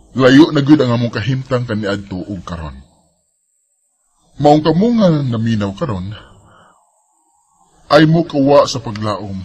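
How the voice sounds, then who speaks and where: An earnest, husky graduate. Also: male voice, mid-range voice, darkish tone. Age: young adult. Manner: earnest and thankful. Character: grateful young male graduate. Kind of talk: radio drama acting.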